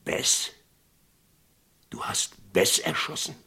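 An actor playing a theatrical, boisterous small-town sheriff of the Wild West in a German radio crime drama, with a gravelly, husky voice. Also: male voice, pushed and bright. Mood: stunned, probing. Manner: theatrical, exclamatory, bantering then halting.

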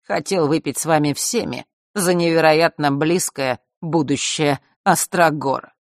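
A reader voicing a sneering young man who taunts in a slow, drawn-out way. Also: mid-range voice, dry recording, clean studio sound, close to an everyday voice. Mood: mocking.

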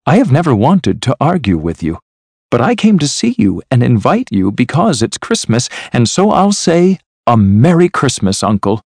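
A man voicing a high-pitched, cheerful young nephew. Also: big pitch swings, moderate pace, a little mellow, dry recording. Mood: heartfelt. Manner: earnest, friendly, pleading. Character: cheerful, warm-hearted young nephew of the miser. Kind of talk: storybook character voice.

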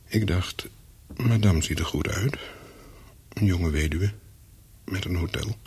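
An actor playing a wary, secretive English hotel guest in a radio play, telling his story in short, measured sentences with a husky voice. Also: darkish tone, heavily acted. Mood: brooding, reflective. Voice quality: low, husky. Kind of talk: radio play, first-person narration with dialogue.

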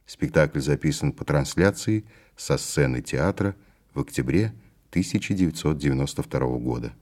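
Male announcer for formal scripted credits, extremely low voice. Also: lively intonation, normal speed, a little mellow.

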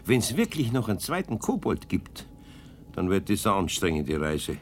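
A man's voice: fairly low pitch, wildly swooping pitch, normal speed, slight background noise. An actor playing a kindly, grumbling older man with a Bavarian accent in a 1970s children's radio play. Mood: exasperated.

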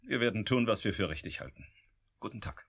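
A man's voice: on the low side, expressive, a little fast, fairly bright tone, slightly rough, full character voice. An actor playing an authoritative male research director in a science-fiction radio play, formal, clipped and commanding. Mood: cold, curt. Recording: noisy vintage radio drama recording.

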